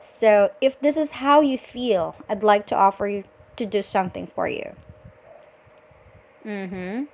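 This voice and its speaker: A woman from the Philippines with a Filipino accent, speaking politely and deliberately as a virtual assistant on a scripted sales call. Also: medium pitch, vocal fry, a little hiss or hum. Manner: polite, deliberate, frequent acknowledging murmurs.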